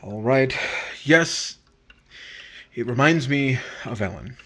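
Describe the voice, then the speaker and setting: Guy playing brooding earnest boyfriend in old radio romance, husky middle voice, kinda halting and awkward. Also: mid-range voice, lively intonation, a bit bright, exaggerated voice. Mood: irritated, brooding. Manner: hesitant, halting. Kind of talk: old-time radio romantic drama acting.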